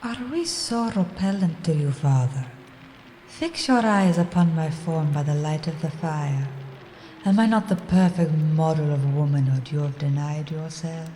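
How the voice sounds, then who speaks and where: A seductive vampire woman, acted by a woman, coaxes in a very low, mellow voice. The formal radio play performance floats in long hall reverb. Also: measured pace, a little hiss or hum. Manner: coaxing, formal. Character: seductive female vampire temptress.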